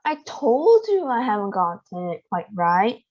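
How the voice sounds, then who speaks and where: Lady doing an eccentric candy man, sighing, kinda quiet, dull audio. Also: mid-range voice, big pitch swings, unhurried. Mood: rueful. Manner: sighing, rueful, matter-of-fact. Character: eccentric weary candy maker, adult man. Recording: dull lo-fi recording.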